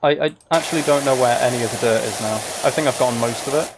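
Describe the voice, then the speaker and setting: A soft-spoken man livestreaming, chatting casually to viewers while he plays a game. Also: medium pitch, quick pace. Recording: clear background noise, spraying game sounds. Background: game ambience and water spray.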